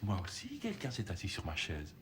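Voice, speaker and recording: A man voicing a gentle mother bear from a storybook in a soft, pretty voice. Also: low-pitched, wildly swooping pitch, fairly quick, very noisy. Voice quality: soft, sweet voice.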